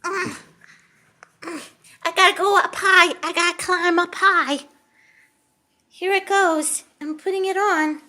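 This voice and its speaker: A puppeteer voicing a sweet, excitable childlike lamb puppet with a squeaky voice and halting, giggly manner for a children's show. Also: heavily acted. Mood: eager, effortful.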